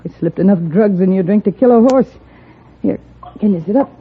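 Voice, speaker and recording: Actress as husky, hard-bitten saloon girl. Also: medium pitch, a little fast, natural voice. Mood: scornful. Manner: blunt, sardonic. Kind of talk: 1950s radio Western acting. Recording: muffled, thin 1950s radio broadcast.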